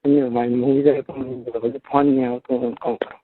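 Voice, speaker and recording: A man's voice: level tone, a little fast, a little hiss or hum. A man with a Rajasthani Marwari accent chatting casually on the phone, often repeating his phrases. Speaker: tailor shop owner. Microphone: telephone. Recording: muffled low-fidelity phone call recording.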